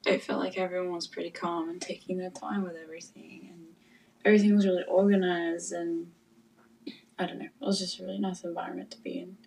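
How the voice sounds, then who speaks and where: A woman co-hosting a casual podcast chat, easygoing and laughing often, with a croaky sore throat. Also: on the low side, flat delivery, fast talker, a little mellow, recorded from a distance, low-quality recording. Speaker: podcast co-host.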